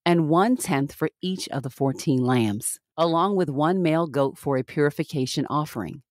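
A woman reads scripture aloud in a formal, deliberate, measured manner with clear phrasing. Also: low-pitched, a little mellow, no echo, clean studio sound. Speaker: podcast scripture reader.

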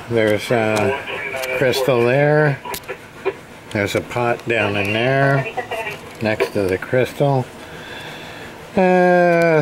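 A man giving a casual hands-on repair demonstration, thinking aloud in a hesitant, halting way as he goes. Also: medium pitch. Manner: hesitant, halting, muttering to himself. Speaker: hobbyist radio repair presenter. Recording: clear background room noise.

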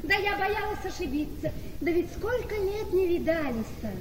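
Radio play role: lovestruck, trusting young wife, animated and exclamatory, echoey hall sound. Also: female voice, extremely high pitch, normal speed. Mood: delighted. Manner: effusive, exclamatory. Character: gushing, naive, trusting young married woman in love. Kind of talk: theatrical radio play acting. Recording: echoey reverberant hall, crowd sounds behind.

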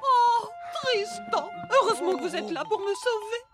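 A woman's voice: very high voice, lively intonation, normal speed. A woman playing a fussy, dramatic mother in a children's cartoon. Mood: gushing relief. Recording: echoey, distant, loud music behind.

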